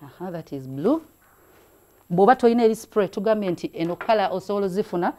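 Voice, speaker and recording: A woman's voice: mid-range voice, lively intonation, quick pace, darkish tone. A middle-aged woman hosting a hands-on craft demonstration indoors, chatty and encouraging, switching between languages as she works. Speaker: craft show host. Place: indoor room at a craft table.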